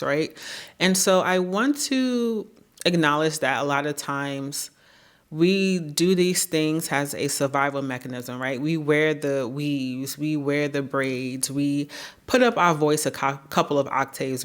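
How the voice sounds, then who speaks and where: A woman with a naturally deep voice talking to camera in an earnest, measured way, often checking in with "right?". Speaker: YouTube creator. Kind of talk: reflective talking-head commentary.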